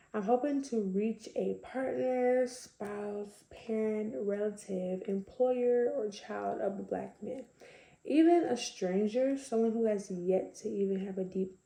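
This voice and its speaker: A young woman with a slightly raspy voice, chatting casually to camera with lots of fillers. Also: medium pitch, mellow, roomy sound. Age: young adult. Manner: chatty, conversational, many fillers. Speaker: vlogger.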